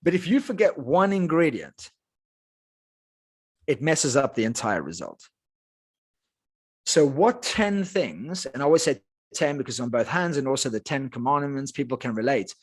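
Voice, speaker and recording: A man with a South African accent, his first language Afrikaans, speaking as a life coach guest in a podcast interview with energetic, emphatic delivery. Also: medium pitch, moderate pace, pauses often, breathy edge. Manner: energetic, emphatic motivational talk.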